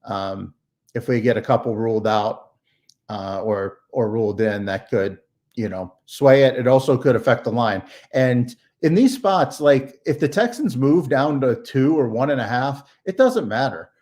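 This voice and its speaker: A man analyzing sports betting lines, explaining at a measured pace in casual talk. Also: mid-range voice, expressive, darkish tone.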